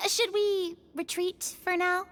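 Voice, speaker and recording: A woman voicing a small, excitable, childlike fairy companion in a video game, exclamatory and expressive. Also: very high voice, slow pace, heavily acted. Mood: casual. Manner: exclamatory, gasping, refers to self in third person.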